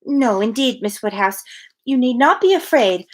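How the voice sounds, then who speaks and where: A woman's voice: a little high, expressive, moderate pace. A woman voicing a wistful, earnest young woman from a novel, given to sighing. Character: wistful, sweet young woman sighing over lost love.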